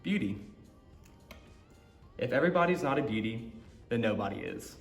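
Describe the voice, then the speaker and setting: A man reads a picture book aloud at a middle pitch. The room is echoey, and music is faintly present in the recording. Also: animated, moderate pace, creaky. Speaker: story time reader.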